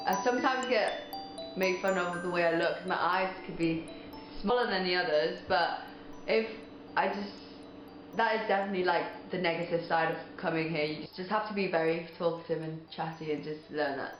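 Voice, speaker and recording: A woman's voice: fairly low pitch, normal speed, faint music behind. An eighteen-year-old woman from Thailand giving a documentary interview, thoughtful and hesitant, often restarting her sentences. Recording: echoey room.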